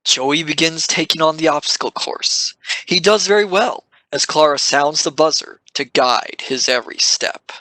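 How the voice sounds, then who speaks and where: Male drama narrator: scripted stage description, higher register, bright tone, dry recording. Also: moderate pace, low-quality recording.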